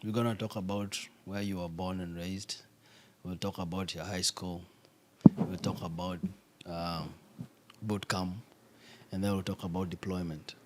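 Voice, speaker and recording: A man's voice: deep voice, little pitch movement, unhurried, very noisy. A man hosting a podcast, asking questions casually, with frequent affirmations and some searching for words. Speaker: podcast host. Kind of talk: casual interview conversation.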